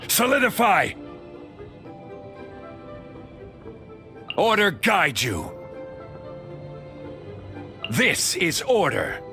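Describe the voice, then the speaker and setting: An actor playing an ancient, composed male stone god in a game, speaking in a measured, formal, unhurried way. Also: high-pitched, gravelly, bright, forceful tone, heavily acted. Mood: commanding. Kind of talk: game character voice lines.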